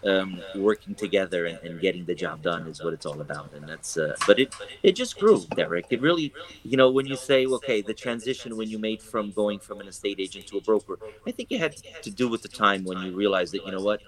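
A man's voice: lowish voice, expressive, brisk, low-quality recording. A man who is a real estate broker talking casually in an interview, rambling with many fillers and restarts. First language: English.

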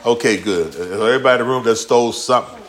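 A man's voice: mid-range voice, racing. A man preaching a casual, slangy sermon in a church, acting out quotes and talking directly to the congregation. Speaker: pastor. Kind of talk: conversational sermon.

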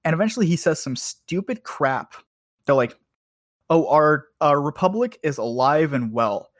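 A fairly high-voiced man in casual podcast talk. He swings his pitch about as he jokes, teases and swears freely. The sound is dry. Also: lively intonation, moderate pace, no room sound. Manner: profane, joking, teasing. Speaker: podcast co-host. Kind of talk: casual banter and storytelling.

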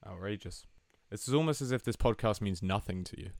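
Young man from Brazil, Portuguese native, podcast co-host; deadpan ribbing, low-ish voice, dry mic. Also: brisk, gravelly, dry recording. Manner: dry, teasing banter with swearing. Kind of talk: casual chat.

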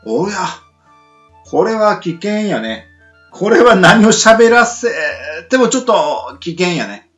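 A man hosting a casual radio chat in a teasing, animated way. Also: high-pitched, takes it slowly. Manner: animated, teasing, frequent backchannels. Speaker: radio host. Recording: muffled lo-fi audio with music underneath.

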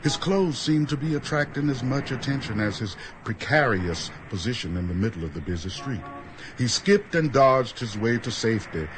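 A man's voice: mid-range voice, expressive, moderate pace, noisy background. A man reading dramatic scripted narration for a radio play.

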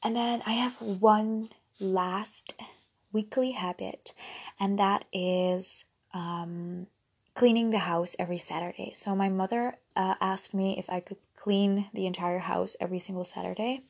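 A woman's voice: medium pitch, fairly even intonation, measured pace, vocal fry, husky. A young woman who grew up speaking Dutch, chatting casually and rambling on her own podcast. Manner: chatty, rambling, giggly asides. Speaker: podcast host. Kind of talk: casual solo podcast chat.

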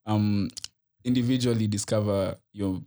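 A man's voice: lowish voice, steady tone, normal speed, warm tone. A young man hosting a podcast in a casual, chatty style. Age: young adult. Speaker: podcast host. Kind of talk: casual chat.

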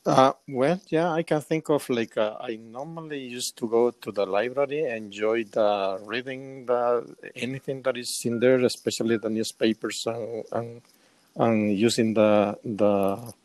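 A man of sixty-five from El Salvador with a Salvadoran Spanish accent, giving casual, halting answers as an interview guest. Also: mid-range voice, fairly even intonation, darkish tone, no echo. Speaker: interview guest, semi-retired.

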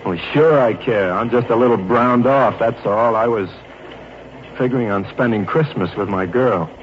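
An actor playing an earnest young wounded Navy flyer, plain-spoken and halting, in 1940s radio drama style. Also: male voice, mid-range voice, lightly acted. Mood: glum, resigned. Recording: noisy muffled old radio recording.

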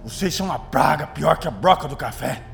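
An actor playing a cruel, domineering plantation overseer in a radio drama, with a gravelly, taunting delivery. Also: male voice, on the high side, expressive, a little fast. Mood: contemptuous fury. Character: cruel, menacing plantation overseer, a strong man. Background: loud dramatic music.

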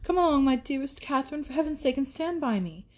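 A woman's voice: fairly high pitch, animated, normal speed, dark tone, slightly rough. A woman voicing a gushing, flighty young woman in a period storybook, coquettish, exclamatory and affected as she scolds. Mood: indignant, dramatic.

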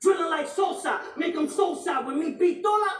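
A woman performing aggressive battle rap, shouting her bars and repeating punchlines at a live event. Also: very high voice, normal speed. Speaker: battle rapper. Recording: echoey live venue, mic from far off.

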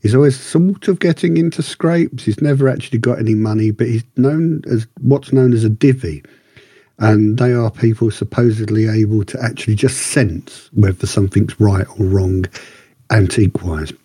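A man gives a casual, rambling review as a podcast co-host. His voice is low and mellow, a little husky, and he likes to repeat words for emphasis. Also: moderate pace, no echo.

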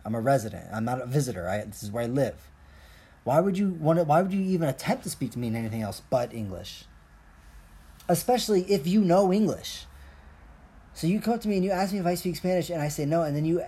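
Husky man ranting casually. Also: on the high side, dark tone. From Colombia. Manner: profane, jokey, self-deprecating ranting. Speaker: podcast host. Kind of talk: casual rambling monologue.

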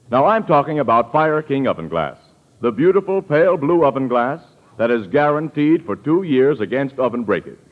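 Animated American radio announcer man, tinny vintage sound. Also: medium pitch. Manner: polished, emphatic salesman delivery. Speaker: commercial announcer. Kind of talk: 1940s radio advertisement read. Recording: tinny, narrow 1940s radio recording.

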